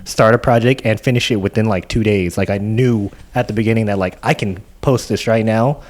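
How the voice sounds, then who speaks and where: A man's voice: fairly low pitch, fairly even intonation, brisk, darkish tone. A man from California who works as a DJ talks casually and with slang on a podcast. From California, United States. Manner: casual slangy speech with mild swearing. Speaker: DJ and podcast participant.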